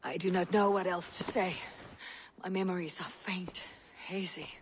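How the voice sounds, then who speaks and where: A woman's voice: on the low side, steady tone, moderate pace, a little hiss or hum, natural voice. An actress playing a fierce, earnest young Viking warrior woman, haunted, in a dramatic video game. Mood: solemn, hazy.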